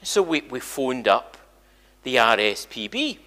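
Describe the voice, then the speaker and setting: Bright-voiced older Scottish minister in echoey church. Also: male voice, medium pitch, a little slow. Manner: chatty, animated, addressing the congregation. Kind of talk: informal all-age church talk, storytelling. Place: large church sanctuary, indoors. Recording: echoey church hall, long reverb.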